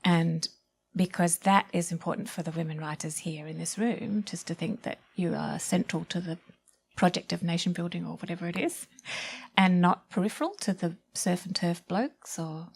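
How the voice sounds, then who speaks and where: A woman's voice: on the low side, normal speed, creaky, breathy edge. A woman speaking as a panellist in a literary discussion, thoughtful and articulate, making her points with emphasis.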